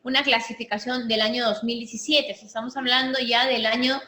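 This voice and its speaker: A woman with a Peruvian Spanish accent teaches a medical class in a room that rings with echo. Her voice sits a little high and sounds sharp and bright. She explains step by step, often stopping to restart a phrase. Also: steady tone, brisk. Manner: explanatory, many restarts and fillers. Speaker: physician lecturer. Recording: echoey room with faint noise.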